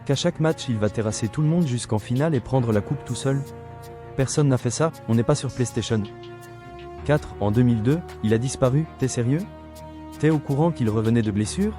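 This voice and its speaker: A man's voice: medium pitch, fairly quick, over music. A middle-aged man reading a combative, sarcastic rebuttal monologue, lecturing a younger critic. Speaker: video commentator.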